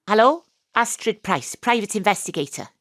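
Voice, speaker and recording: A woman playing a brisk, tongue-in-cheek private detective with a Welsh accent, acting and narrating in a comic audio drama. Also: mid-range voice, expressive, a bit bright, no room sound, studio quality. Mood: brisk, flirty.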